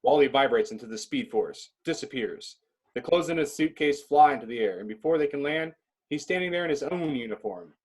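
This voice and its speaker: A man with a husky voice narrating a script in a clipped, descriptive reading style. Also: mid-range voice, animated, fairly quick, darkish tone, low-quality recording.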